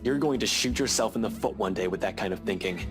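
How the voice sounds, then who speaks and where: A man's voice: fairly low pitch, fast talker, close to an everyday voice. Man playing blunt, exasperated young man, music behind. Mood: stern. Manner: blunt, dry. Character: stern, exasperated young man, protective and dry. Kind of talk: visual novel voice acting. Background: background music.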